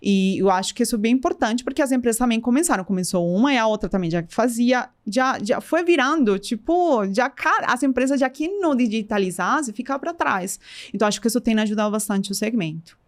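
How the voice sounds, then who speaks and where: A woman's voice: a little high, animated, brisk, hardly any pauses. Interview guest: Colombian woman, middle-aged, heavy accent, reflective, stops and restarts her thoughts. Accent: Colombian Spanish. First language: Spanish. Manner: thoughtful, many restarts, mixes Spanish into Portuguese. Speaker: guest, company co-founder. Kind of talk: casual interview talk.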